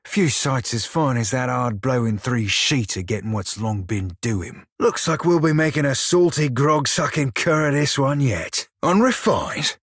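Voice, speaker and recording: Roguish shiphunter, game character, rough husky voice, salty slang, cocky, dry studio sound. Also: male voice, mid-range voice, lively intonation, a little slow, few pauses, a bit bright, dry recording, exaggerated voice. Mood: cocky, playful. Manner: salty archaic sailor slang, cocky and teasing. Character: swaggering, foul-mouthed blue-furred male pirate shiphunter, roguish. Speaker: video game companion character. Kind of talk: video game character dialogue and barks.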